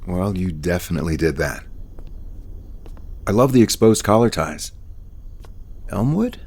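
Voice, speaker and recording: A man playing a gentle, considerate homecomer in an audio soap opera, soft-spoken and reserved. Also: sits low, lively intonation, a little slow, husky, exaggerated voice. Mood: admiring. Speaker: actor.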